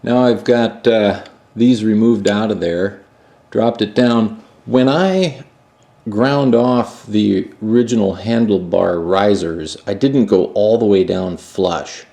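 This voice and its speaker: Middle-aged man, relaxed rambling workshop talk, recorded off a camera mic across a roomy garage. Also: lowish voice, slow, distant mic. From Minnesota, USA. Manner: relaxed, musing, thinks aloud with fillers. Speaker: motorcycle builder and channel host. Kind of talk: casual workshop vlog. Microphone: camera mic, moving around the room.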